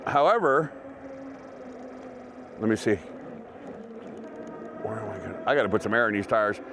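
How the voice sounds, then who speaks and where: A man's voice: on the low side, animated, moderate pace, background noise. A man hosting a gear review vlog, chatting informally and directly to his viewers. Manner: informal, conversational, talks to viewers. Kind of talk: casual product review chat to camera.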